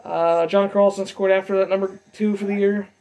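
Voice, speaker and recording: A young man giving a casual game recap from notes, speaking haltingly and stumbling over names and figures. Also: sits high, level tone, a little mellow. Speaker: hockey fan vlogger. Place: dim bedroom, seated at desk.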